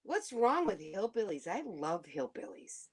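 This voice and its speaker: A woman from Alabama chatting as a podcast co-host, excitable and gushing. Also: medium pitch, unhurried, slightly rough. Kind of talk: casual chat.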